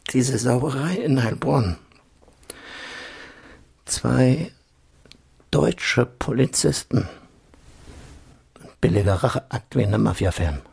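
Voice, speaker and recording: A man's voice: mid-range voice, animated, slow pace, vocal fry, pauses often, a little mellow, slightly rough, exaggerated voice. An actor playing an evasive, self-justifying male intelligence official in a political radio drama, conspiratorial in manner. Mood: grim, aggrieved.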